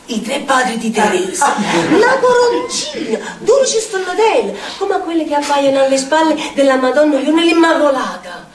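An actress playing an eccentric, earthy Neapolitan storyteller in a declamatory theatrical monologue, with a strong Neapolitan accent. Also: female voice, high voice, very animated, moderate pace, few pauses. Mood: mournful, grotesque. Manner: declamatory, dramatic, sing-song repetitions. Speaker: stage actress. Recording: echoey theatre stage, distant mic, faint noise.

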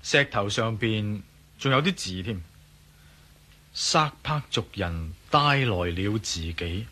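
An actor playing an inquisitive, self-assured adventurer with dry humour in a radio drama, speaking with a Hong Kong Cantonese accent in a questioning, conversational way. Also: male voice, on the low side, expressive, slow, bright, forceful tone, heavily acted. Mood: curious.

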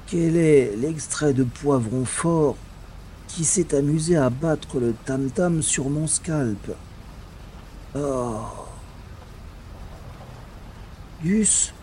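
A man's voice: mid-range voice, mellow, a little hiss or hum. A man playing a cocky, wry special agent who narrates his own scrapes theatrically in a science-fiction audio drama. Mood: groggy, pained. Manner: theatrical, slangy, self-narrating. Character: wry, cocky male special agent, talking himself through trouble. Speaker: lead actor in an audio drama. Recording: music under the voice. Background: music.

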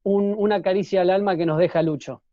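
The sound is dull and very dry, from an online call. A man co-hosts in a slightly high voice, chatting casually and haltingly with repeated words. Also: fairly even intonation, no echo. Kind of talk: casual chat. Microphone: remote internet call.

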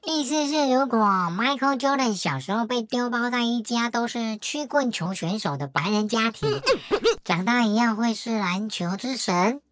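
A woman's voice: fairly high pitch, expressive, normal speed, no echo, natural voice. A woman voicing a wry co-listener who makes teasing, blunt remarks.

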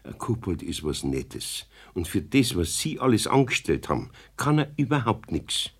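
A man's voice: lowish voice, sing-song, moderate pace, husky, full character voice. A man playing a grumbling, good-natured older craftsman with a Bavarian accent in a 1970s radio play. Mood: mildly defensive. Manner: homely, grumbling dialect talk.

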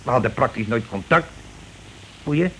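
Bossy working-class husband played by an actor, noisy recording. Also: male voice, mid-range voice, animated, moderate pace, natural voice. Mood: insistent. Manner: insistent, colloquial, explaining impatiently. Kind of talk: radio play acting. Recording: noisy old radio drama recording.

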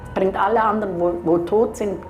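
A woman's voice: mid-range voice, fairly even intonation, a little fast. A woman giving bitter, emphatic personal testimony, repeating herself. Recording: echoey interview under music.

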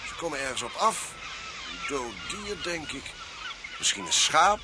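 A man's voice: on the high side, animated, unhurried, noisy background. An actor playing an officious, commanding male police inspector in a radio drama, formal and pompous in manner. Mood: observing. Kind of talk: Dutch radio drama acting.

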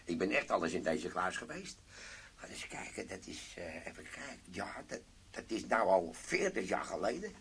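Older ex army sergeant in a radio drama, cheeky n boastful, casual talk, faint hiss. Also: male voice, low voice, very animated, moderate pace, fairly bright tone, heavily acted. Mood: reminiscing. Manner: informal, wry, boastful.

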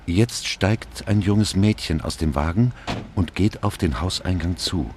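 A man acting as a grave storyteller in a surreal radio play, narrating slowly with a gravelly voice. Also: a little hiss or hum, full character voice. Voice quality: very deep, gravelly. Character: grave male storyteller and questioner in a surreal myth retelling. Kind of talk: scripted narration, slow storytelling.

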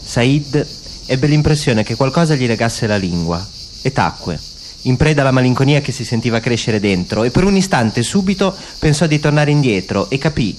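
A man with a medium-pitched voice reads literary prose as radio theatre. His storytelling is measured and flows from phrase to phrase. A little noise sits in the background. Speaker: actor narrating a literary radio play. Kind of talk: dramatic literary narration.

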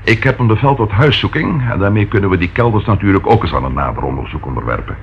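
A keen detective in a radio drama, his lowish voice swinging expressively up and down. He theorises eagerly. The old recording sounds muffled. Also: expressive, fast talker, a little hiss or hum. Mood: businesslike. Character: keen, speculative police detective colleague. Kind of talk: radio play acting.

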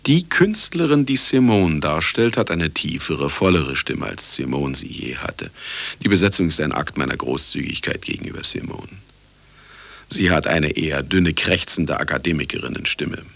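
An actor playing an aging, self-absorbed ex-husband who narrates a radio play in a wry, brooding, theatrical way with bitterness. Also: male voice, rumbling low, expressive, normal speed, fairly bright tone, dry recording, full character voice. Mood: bitter. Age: older. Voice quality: gravelly, husky. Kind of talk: radio drama acting and narration.

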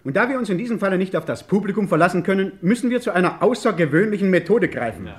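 A man's voice: high-pitched, expressive, fast talker. A man playing a resolute, impatient French police inspector narrating his case in an old German radio crime drama, emphatic and insistent. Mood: urgent, persuasive. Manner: emphatic, insistent, clipped orders. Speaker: detective narrator.

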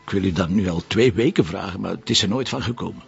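Tired flemish cop, gravelly and sweet-talking, muffled tv sound. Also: male voice, sits low, fast talker, faint music behind. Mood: tender. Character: weary middle-aged male police commissioner. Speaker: police commissioner. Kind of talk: TV drama acting. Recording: dull lo-fi TV audio.

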